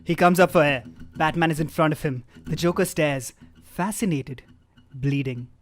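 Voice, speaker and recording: A man narrating stage directions from a script in a deadpan, wry way, with comic asides. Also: a little high, moderate pace, a little hiss or hum. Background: live guitar music.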